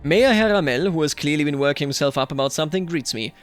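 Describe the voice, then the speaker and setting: A man's voice: a little high, lively intonation, fast talker, faint music behind. A man giving casual commentary on a game playthrough, thinking aloud and speaking in character to the people in it. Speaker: host of a gaming series.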